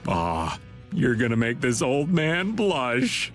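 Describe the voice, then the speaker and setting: An actor playing an easygoing, joking middle-aged game character with a colloquial, playful drawl and comic complaining. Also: male voice, mid-range voice, very animated, slow pace, faint music behind. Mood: breezy, teasing. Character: joking, easygoing middle-aged man, self-styled old-timer. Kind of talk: animated game voice acting.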